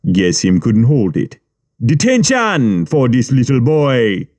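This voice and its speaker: A man's voice: mid-range voice, big pitch swings, slow pace, darkish tone. A man voicing jeering young male gang thugs who mock and taunt. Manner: mocking, taunting.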